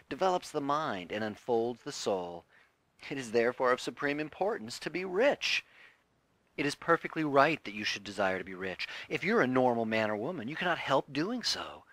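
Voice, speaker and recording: A man reading a self-help audiobook as scripted narration, with deliberate, emphatic, declarative phrasing. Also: mid-range voice, very animated, a bit bright, no room sound, rough recording. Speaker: audiobook reader.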